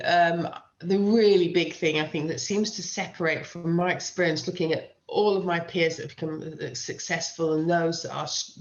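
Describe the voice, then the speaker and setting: A middle-aged British woman who teaches writing, answering interview questions with emphatic advice and repeating key phrases for stress. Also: low-pitched. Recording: dull, lo-fi sound.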